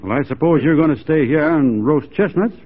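A man's voice: a little high, lively intonation, a little fast, slightly rough. An actor playing a wry, plain-spoken frontiersman in a 1940s radio drama, folksy and a little hesitant. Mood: sardonic. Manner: folksy, hesitant, drily sardonic. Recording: tinny, narrow-band 1940s radio recording.

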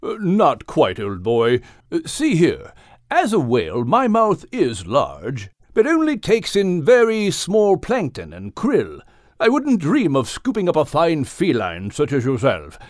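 Woman voicing a courteous, stately gentleman whale, slow and swooping. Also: low voice, huge pitch swings, no room sound, studio quality, voice pushed up, heavily acted. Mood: courteous, reassuring. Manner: courteous, genteel, drawn-out speech. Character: gentle enormous old-fashioned gentlemanly male whale.

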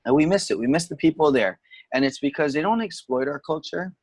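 A man's voice: on the high side, expressive, a little fast, slightly rough, no room sound. A man from Hawaii, a touring musician, talking casually and patiently explaining words. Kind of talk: casual conversation. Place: home, during lockdown.

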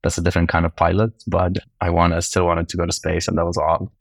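A man's voice: sits low, monotone, quick pace, vocal fry, dry recording, clean studio sound. A man from Lebanon speaking casually as a podcast guest, telling things in a conversational way.

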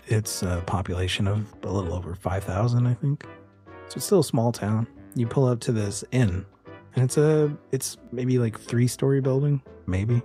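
Mellow game master narrating over music. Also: medium pitch. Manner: informal, improvising descriptions. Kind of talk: narrating a tabletop roleplaying game.

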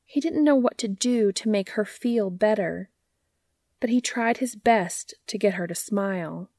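A woman's voice: mid-range voice, measured pace, warm tone, no room sound, studio quality. A woman hosting and reading stories aloud in scripted storybook narration, with music behind her. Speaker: podcast host and fan fiction reader.

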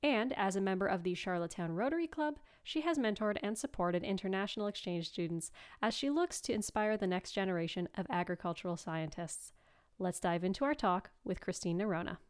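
A woman's voice: mid-range voice, expressive, fairly quick, clear, resonant tone. A woman presenter reading a scripted introduction for an interview guest.

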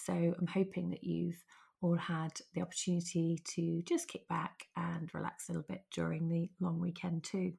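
A woman hosts a podcast in a chatty, lively way that moves between bright and hushed. Her voice is low-pitched with a croaky, gravelly texture, as she is still getting over losing her voice. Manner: chatty, lively, laughs easily. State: hoarse, recovering from lost voice. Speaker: podcast host. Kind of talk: casual podcast interview.

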